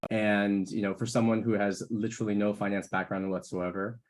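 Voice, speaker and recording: A man's voice: on the low side, little pitch movement, a little fast. An American man, a current student, sharing his experience in a casual, conversational way with many fillers and restarts, over a video call. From United States. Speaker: current scholar and student speaker. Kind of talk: info session talk on a video call.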